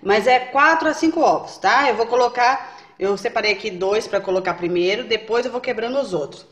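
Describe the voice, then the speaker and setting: A woman's voice: a little high, fairly quick. A woman hosting a cooking video who explains each step and keeps checking in with her viewers. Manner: chatty, checks in with viewers often. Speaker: cooking channel host. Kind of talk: step-by-step recipe demonstration. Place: home kitchen. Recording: echoey kitchen with faint noise.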